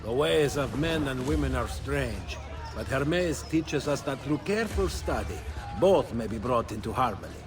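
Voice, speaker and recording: A man's voice: mid-range voice, expressive, moderate pace, lightly acted. A man playing a courteous, serene disciple of a mystic order in a video game. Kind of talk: dramatic video game acting. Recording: music behind the voice.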